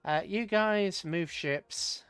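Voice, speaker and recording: A man's voice: high voice, slow pace, a bit bright, smooth, no echo, soft background music. A British man casually commentating a strategy game on a livestream, chatting with viewers and muttering rambling asides to himself as he plays.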